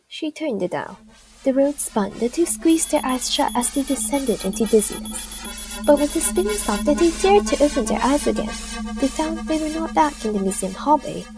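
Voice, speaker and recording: A woman's voice: high-pitched, expressive, normal speed, music in the background, poor audio. A woman narrating a radio drama in a scripted storytelling style.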